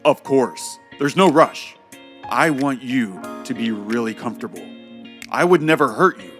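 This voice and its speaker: Charming billionaire husband, mid-pitched, calm and possessive, romance audio drama with music beneath. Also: male voice, a little slow. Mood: gentle, reassuring. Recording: music bed under the voice.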